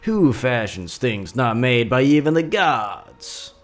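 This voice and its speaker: A man performs a haughty emperor from an old legend. He declaims defiantly with big swings in pitch, while faint music plays behind him. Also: very animated, slow pace. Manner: grand, declamatory. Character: proud, defiant emperor challenging the gods.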